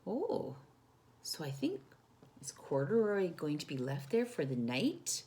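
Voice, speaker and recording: A woman's voice: low-pitched, very animated, unhurried, dark tone. A woman hosting a children's storytime, reading a picture book expressively and putting simple questions and explanations to young listeners.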